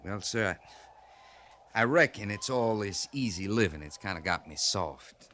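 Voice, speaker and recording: Folksy cavalry sergeant, noisy recording. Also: male voice, lowish voice, expressive, measured pace, sharp tone. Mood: concerned, wry. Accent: American. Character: loyal, homespun, plain-spoken frontier cavalry sergeant. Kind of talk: 1950s radio western acting. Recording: noisy vintage 1950s radio recording.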